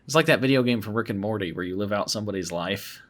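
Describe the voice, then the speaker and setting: A man's voice: lowish voice, fast talker. A man hosting a casual podcast, talking in a laid-back, noncommittal way. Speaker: podcast host and video creator.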